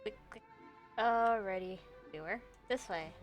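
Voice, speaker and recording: A woman's voice: medium pitch, measured pace, faint music behind. A woman live streamer giving casual, reactive commentary on a game, playful and muttering to herself.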